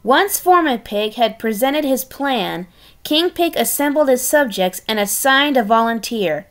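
Female storybook reader, fairly high voice, unhurried, sardonic in asides. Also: lively intonation, fairly bright tone. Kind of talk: expressive read-aloud with wry asides.

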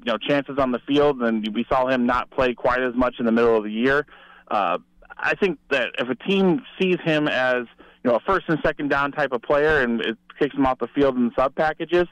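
Guest sports analyst, male. Mid pitch, crisp resonant voice, dry sound, talking through football prospects conversationally. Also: no room sound, muffled recording.

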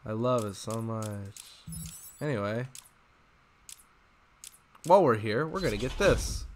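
A man's voice: medium pitch, lively intonation, measured pace, a little hiss or hum. A man giving casual, joking and sarcastic playthrough commentary as a gaming streamer. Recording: game music behind the voice.

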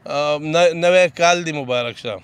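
A man's voice: a little high, moderate pace. A middle-aged man being interviewed outdoors in a park, hesitant with many fillers. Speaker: passer-by interviewee. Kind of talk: casual street interview. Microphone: handheld mic held close.

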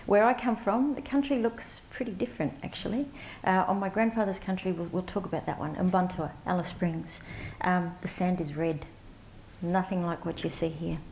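Soft-voiced woman telling a story on stage. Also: lowish voice, a little hiss or hum, poor audio. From Central Australia. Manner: warm, reflective, halting with fillers and restarts. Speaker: panelist, CEO of an advocacy organisation. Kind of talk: storytelling conference talk. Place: conference stage before a large audience, indoors.

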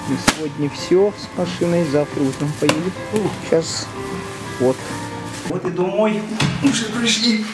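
A man's voice: sits high, unhurried, loud music behind the voice. Easygoing man, roomy sound. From Yakutia. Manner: easygoing, plain-spoken, chuckles often. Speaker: truck driver on a road trip. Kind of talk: casual vlog narration. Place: small rented apartment kitchen, indoors. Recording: echoey room with steady noise.

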